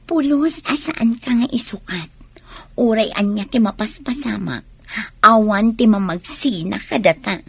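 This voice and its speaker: An actress with an Ilocano accent playing a young student mistress in a radio drama, pleading and emotional. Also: female voice, fairly high pitch, expressive, unhurried, gravelly, breathy edge, dry recording, heavily acted. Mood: sweet, clinging.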